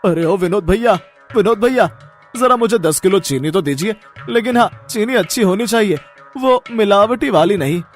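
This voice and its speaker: A man plays a poor but joyful village husband in an animated moral tale. His voice is fairly high and swoops expressively, eager and reassuring, over soft background music. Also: moderate pace. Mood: cheerful. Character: cheerful, humble poor jalebi seller, loving village husband. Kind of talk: Hindi animated moral story voice acting.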